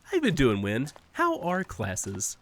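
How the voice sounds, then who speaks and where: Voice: mid-range voice, huge pitch swings, a little slow, slight background noise. An actor playing an uncannily cheerful entity that poses as a best friend, overly friendly, coy and needling, in an audio drama. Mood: chirpy, teasing.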